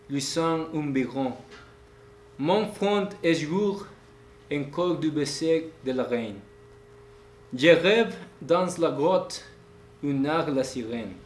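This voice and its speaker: Man in the audience sight-reads a poem slowly, with a slightly high voice, echoey room, faint hum behind. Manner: slow, halting reading of a French poem. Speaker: audience member reading aloud. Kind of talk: sight-reading a poem aloud.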